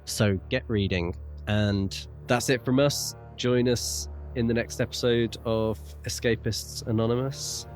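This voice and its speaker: A man co-hosting a podcast, talking casually about a book in measured, hedging phrases. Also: mid-range voice, steady tone, soft background music. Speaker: podcast co-host.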